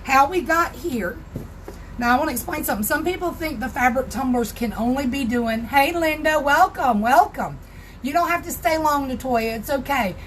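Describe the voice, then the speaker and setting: A woman with a Southern US accent and a hoarse, raspy voice, chatting casually through a live crafting tutorial from her home craft room and greeting viewers by name. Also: sits high, lively intonation, normal speed, fairly bright tone, slight background noise, low-quality recording.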